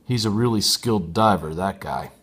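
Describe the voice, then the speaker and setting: A man narrating a children's book aloud with expressive, deliberate phrasing. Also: deep voice, slightly rough. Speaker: storybook reader. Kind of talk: expressive audiobook narration for young readers.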